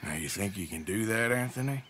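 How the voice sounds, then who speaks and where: An actor playing a gruff, world-weary outlaw who speaks in dry, threatening tones in a video game. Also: male voice, lowish voice, lively intonation, fairly quick, fairly bright tone. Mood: menacing.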